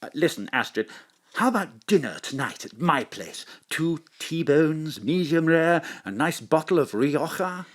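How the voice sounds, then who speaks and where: A man's voice: fairly high pitch, very animated, a little slow. A man playing a genial, suave intelligence chief in an audio drama, jovial and politely old-fashioned. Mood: fond, courting.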